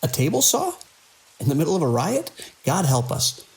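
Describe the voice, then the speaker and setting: Gravelly, weary hospital director, acted by a man. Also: on the high side, huge pitch swings, normal speed, noisy background, full character voice. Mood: weary, firm. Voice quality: gravelly, husky. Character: weary, conscientious male hospital director under siege. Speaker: hospital director in an audio drama. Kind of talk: audio drama acting, log dictation and urgent calls.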